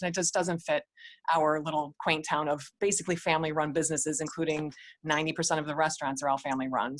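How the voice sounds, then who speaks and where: A woman's voice: low-pitched, dry recording. A woman in her forties or older, chatting casually on a video call, rambling with many restarts and small laughs. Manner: rambling, restarts often, laughs between thoughts. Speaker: participant in a coaching group call.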